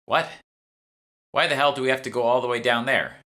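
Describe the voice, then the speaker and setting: A man's voice: medium pitch, fairly quick, bright, forceful tone, voice pushed up, close to an everyday voice. A man voicing an exasperated, questioning fantasy protagonist in an audiobook. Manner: incredulous, complaining. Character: exasperated, questioning sidekick narrator of urban fantasy.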